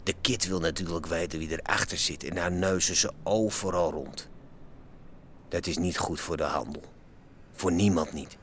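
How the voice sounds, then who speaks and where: Actor as ominous male underworld figure, very low voice, measured, radio drama, faint ambient noise. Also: natural voice. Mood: grave, warning.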